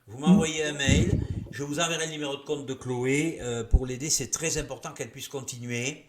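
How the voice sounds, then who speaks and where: A man with a mid-pitched voice speaks as a guest on a talk show. His delivery is earnest and insistent, like someone issuing a serious warning. His is Gascon. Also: fairly even intonation, normal speed, background noise. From Gascony, southwest France.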